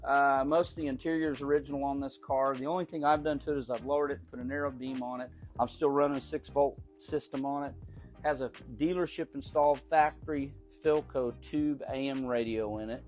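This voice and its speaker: A middle-aged man from Oklahoma giving a casual, folksy tour of the cars in his large garage, with an unhurried manner and frequent small restarts. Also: medium pitch, fairly even intonation, a little mellow, faint music behind. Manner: folksy, unhurried, repeats words while thinking. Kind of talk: casual show-and-tell.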